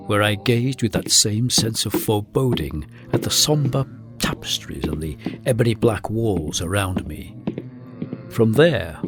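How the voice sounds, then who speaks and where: Gothic storyteller, ominous n literary, really expressive pitch, loud music behind. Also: male voice. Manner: ominous, literary, hesitant for effect. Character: brooding gothic narrator recalling dread. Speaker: narrator. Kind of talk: dramatic scripted narration.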